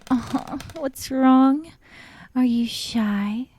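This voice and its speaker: A dominant, besotted yandere woman, acted by a woman for an ASMR roleplay. She speaks extremely slowly in a breathy voice that often sinks to a whisper, very close and dry on the microphone. Her tone is intimate and coy, with a sweet menace under the teasing. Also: high-pitched, darkish tone, dry recording, heavily acted.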